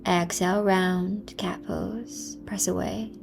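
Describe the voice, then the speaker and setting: A woman teaching a yoga class, guiding movement and breath in a gentle, encouraging manner over music. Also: lowish voice, steady tone, slow pace, gravelly. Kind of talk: guided yoga class with meditative cues.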